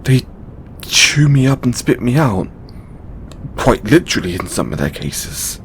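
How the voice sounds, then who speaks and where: A man playing a stressed, tender god confiding in a lover in an intimate audio roleplay, speaking haltingly with stammers and sighs. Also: very animated. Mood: embarrassed, defensive. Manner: hesitant, halting, stammering and sighing. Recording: background noise with low rumble.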